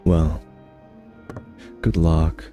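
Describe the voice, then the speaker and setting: A smug vampire acted by a man speaks in a low male voice with a sardonic, teasing drawl. He toys with his captive nemesis in a conversational ASMR roleplay, with faint music behind him. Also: steady tone, drawn-out words, warm tone, full character voice. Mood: wry resignation.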